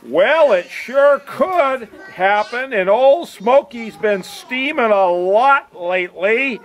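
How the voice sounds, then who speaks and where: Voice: mid-range voice, expressive, slow. A puppeteer voicing a cheerful train conductor in a children's puppet show, talking to the kids in an explanatory, sing-song way. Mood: explaining, excited.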